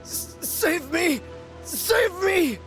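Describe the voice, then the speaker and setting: A man voicing a bombastic, halting, theatrical possessed swordsman in a video game, with a gravelly voice. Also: very high voice, sing-song, a bit bright, heavily acted. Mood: desperate, pleading. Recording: music behind the voice.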